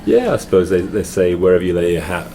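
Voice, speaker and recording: A man's voice: deep voice, wildly swooping pitch, fast talker, dark tone, faint music behind. A middle-aged Englishman with an English accent, a guest in casual chat, telling stories with frequent restarts in a noisy hotel. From England. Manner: storytelling, restarts with repeated words.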